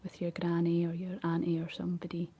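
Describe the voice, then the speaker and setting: A Scottish woman whispering a rambling, chatty ASMR talk in a breathy voice, laughing often as she goes. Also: lowish voice, completely flat delivery, brisk, warm tone. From Scotland. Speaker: ASMR creator.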